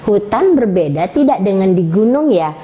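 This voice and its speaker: A woman hosting a children's storytelling show, animated and coaxing, prompting a young storyteller. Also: medium pitch, normal speed, muffled recording. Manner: animated, coaxing, often laughing. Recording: faint background noise.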